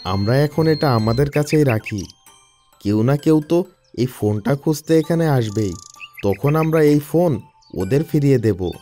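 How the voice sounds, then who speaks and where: A man voicing an excitable villager in a children's cartoon, speaking in exclamations and repeating words. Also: mid-range voice, measured pace, soft background music, close to an everyday voice. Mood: eager. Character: excitable village brother and alarmed farmer father. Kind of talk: Bengali children's cartoon dubbing.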